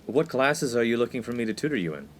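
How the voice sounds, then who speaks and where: A man's voice: medium pitch, very animated, fast talker, slight background noise, close to an everyday voice. A man playing a studious, proper young college freshman in a comic radio play, earnest and easily exasperated. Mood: wary. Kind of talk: 1950s-set comic radio play acting.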